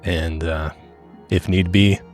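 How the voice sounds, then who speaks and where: A man improvising in character in a tabletop game, with a blunt, sweary and halting casual delivery. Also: low-pitched, steady tone. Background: background music.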